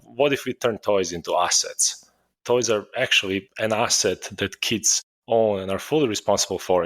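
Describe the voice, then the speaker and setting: A man, a guest answering interview questions in a steady storytelling way. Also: lowish voice. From Serbia. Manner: steady storytelling, occasional self-corrections. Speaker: guest, startup founder.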